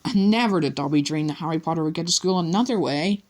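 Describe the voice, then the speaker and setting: A man's voice: a little high, fast talker, voice pushed up, close to an everyday voice. A man voicing a small, miserable male house elf who talks humbly and servilely. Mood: pleading. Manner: humble, servile, refers to himself by name.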